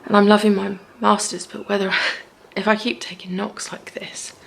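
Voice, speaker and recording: Woman vlogger, worn-out delivery, heavy vocal fry, narrow monotone pitch. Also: normal speed, noisy background. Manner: weary, low-energy talk. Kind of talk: personal video diary. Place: apartment at home.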